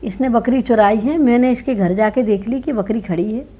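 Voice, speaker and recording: A woman's voice: a little high, normal speed, slightly rough. A woman voicing an aggrieved village man in a folk tale, insistent and protesting. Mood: insistent, complaining. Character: aggrieved village man who lost his goat. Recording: dull lo-fi recording.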